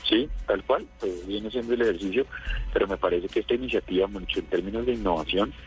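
A man speaks into a radio show over a phone line. His voice is very deep and his pace rapid. He talks informally, restarting sentences as he goes. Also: faint music behind. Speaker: regular radio contributor.

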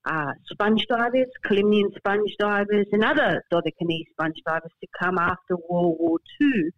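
An Australian woman in her fifties, a barrister being interviewed, speaking in a measured, halting way with many fillers and repeated words. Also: medium pitch, animated, dry recording, dull audio. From Darwin, Northern Australia. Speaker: barrister and guest. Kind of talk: podcast interview. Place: remote, from her hometown.

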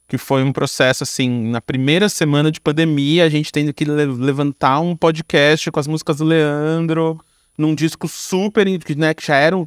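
A man's voice: fairly high pitch, no echo, clean studio sound. A man with a Brazilian Portuguese accent talking casually in an interview about his creative work, in an informal, slangy, run-on way with frequent tag questions. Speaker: podcast guest, audio storyteller and creator.

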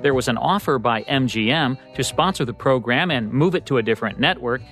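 A man co-hosting a podcast, reading scripted radio history narration in a measured, announcer-like way. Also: mid-range voice, animated, fairly bright tone, soft background music. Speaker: podcast co-host.

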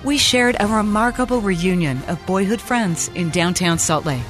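A woman's voice: mid-range voice, normal speed, over music, lightly acted. A woman playing a polished TV news reporter delivering a report in a drama.

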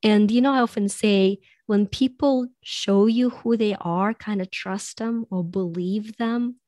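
A woman with a raspy voice speaks as a coach in a relaxed conversation. She explains things thoughtfully, often slipping in "you know." The sound is dry and close, with no room around her. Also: mid-range voice, measured pace, no echo. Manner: reflective, explains with many "you know" asides. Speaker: possibility coach. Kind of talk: podcast conversation on soulmates.

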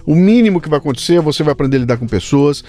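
A man's voice: a little high, expressive, racing, warm tone. Man hosting a casual interview. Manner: informal, slangy, emphatic. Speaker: podcast host.

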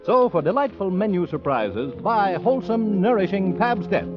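An American man reads a 1940s radio commercial with animated swings in a fairly high voice. The vintage recording is muffled, with music clearly behind him. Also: moderate pace. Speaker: commercial announcer.

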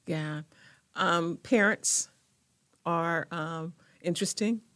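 A woman, a guest representing a foundation, speaking in quick, halting bursts with frequent restarts. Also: on the low side, fairly bright tone, dry recording. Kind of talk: casual interview conversation.

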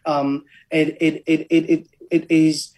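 A linguist, explaining language policy in a measured, academic way during an interview. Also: medium pitch, level tone, darkish tone.

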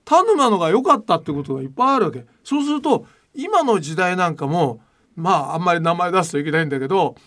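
A male talk show host with a high voice and highly animated intonation. He speaks casually and colloquially, laying out his opinions bluntly and with emphasis. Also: fast talker.

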